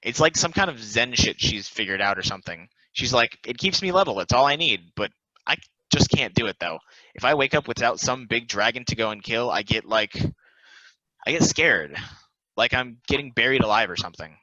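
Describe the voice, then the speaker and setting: A man's voice: mid-range voice, animated, brisk, pushed and bright, no room sound. A man playing a self-deprecating, philosophical and restless immortal football player, rambling confidingly in a casual stage play reading. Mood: animated, candid. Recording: dry, slightly dull recording.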